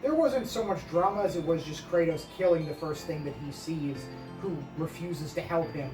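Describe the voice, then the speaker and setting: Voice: medium pitch, moderate pace. A podcast co-host chatting casually in an opinionated, rambling, emphatic way and swearing freely. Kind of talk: casual discussion. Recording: roomy echo, distant mic, music behind. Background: music.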